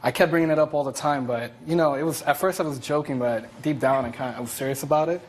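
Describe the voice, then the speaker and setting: A man's voice: on the high side, fast. A young man from the Dominican Republic, the plaintiff in a TV court case, telling his story in a rambling way. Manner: rambling, many fillers and restarts. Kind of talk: courtroom testimony.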